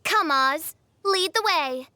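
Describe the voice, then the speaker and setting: A woman's voice: extremely high pitch, lively intonation, slow pace, pushed and bright, no room sound, heavily acted. A woman voicing a theatrical teenage girl with princess airs in an anime game, speaking in pompous, archaic, flowery phrases. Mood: grandly proclaiming. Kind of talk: anime video game voice acting.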